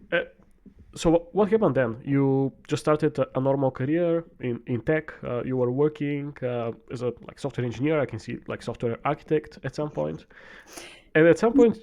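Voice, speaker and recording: A man's voice: medium pitch, brisk, a little mellow. A man hosting a podcast, asking casual interview questions with some stumbles and restarts. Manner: friendly, hesitant phrasing with restarts. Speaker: podcast host.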